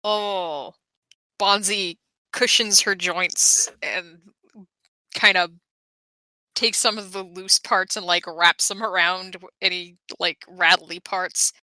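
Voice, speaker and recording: A woman's voice: on the low side, fairly even intonation, slow, bright, forceful tone, no echo, poor audio. A woman playing a tabletop game, casually talking through what her character does.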